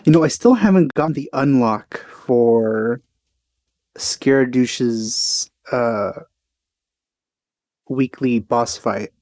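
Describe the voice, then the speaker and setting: A man giving casual, low-key and wry commentary while playing a video game. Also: slow pace, long pauses, darkish tone, no echo. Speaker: let's play commentator.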